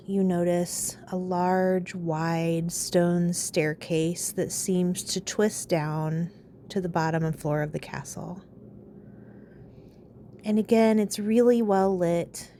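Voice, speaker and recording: A woman leading a guided meditation in a calm, soothing, unhurried way, pausing between phrases. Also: fairly low pitch, slight background noise.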